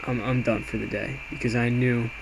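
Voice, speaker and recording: A man's voice: medium pitch, normal speed. A man giving an informal, hesitant, self-reflective trade recap as an amateur day trader, talking casually as he walks through a screen. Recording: clear steady background noise.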